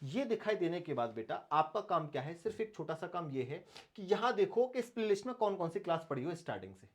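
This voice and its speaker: A man's voice: sits high, sing-song, fast talker. Male coaching instructor. Directs students step by step, restates key points, addresses them fondly. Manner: addresses students affectionately, instructive, repeats points, asks rhetorical questions. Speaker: maths teacher for exam preparation. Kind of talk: online coaching lesson, strategy talk to students.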